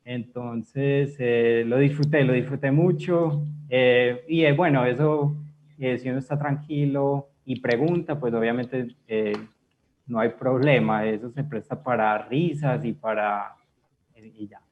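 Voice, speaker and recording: A man's voice: medium pitch, unhurried. Hesitant man over a tinny line. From Colombia. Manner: hesitant, many fillers. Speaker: guest. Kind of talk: conversational storytelling. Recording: tinny phone-like call audio.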